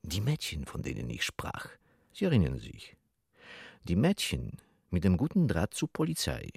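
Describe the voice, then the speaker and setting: An actor playing a sly, rambling old Polish junk-shop dealer in a German-dubbed film thriller, evasive and wheedling. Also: male voice, sits low, wildly swooping pitch, gravelly, darkish tone, breathy edge, no echo, clean studio sound, exaggerated voice. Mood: sly, reminiscing. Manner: evasive, wheedling, drawn-out musing.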